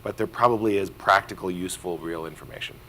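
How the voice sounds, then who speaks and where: A man's voice: low-pitched, fast talker, sharp tone, slight background noise. A man speaking on a conference panel in a reflective, plainspoken way.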